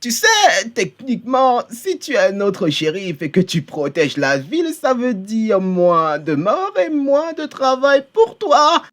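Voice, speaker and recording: A woman voicing a boastful but cowardly young male outlaw in an animated fan dub, talking in a cocky, rambling, easily flustered way. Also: a little high, takes it slowly, few pauses. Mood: panicked pleading.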